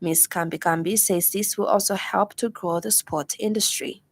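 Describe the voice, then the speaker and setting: A woman's voice: mid-range voice, steady tone, normal speed. A woman news reader with a Zambian accent delivers a scripted radio bulletin. She speaks into a studio microphone in a formal bulletin style. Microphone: studio broadcast microphone.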